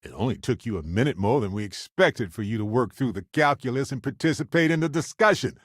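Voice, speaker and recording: An actor playing a chatty, good-natured space explorer in a video game, speaking in a playful, conversational way with wry expressiveness. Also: male voice, mid-range voice, expressive, brisk, no room sound, clean studio sound, natural voice. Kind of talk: video game companion voice lines.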